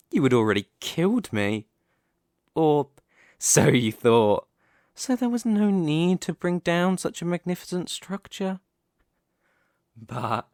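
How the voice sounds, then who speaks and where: A dominant Demon King played by a man speaks at a slow, deliberate pace in a mid-range male voice. He is smug, mocking and theatrical, coaxing his listener like a villain sure of winning. It is an ASMR roleplay monologue, recorded close and very dry. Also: mid-range voice, darkish tone, dry recording. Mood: gloating.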